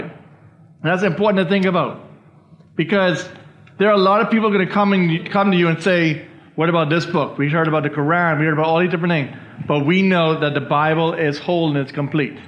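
A man from the Bahamas teaches a church Bible study, his voice sitting a little high. He keeps asking his listeners "all right?" as he explains each point. The recording sounds dull and lo-fi. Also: fairly bright tone.

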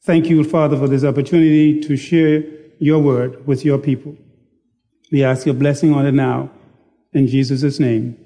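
A man preaching a sermon in a slow, deliberate, self-deprecating manner. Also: fairly high pitch, warm tone. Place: church sanctuary.

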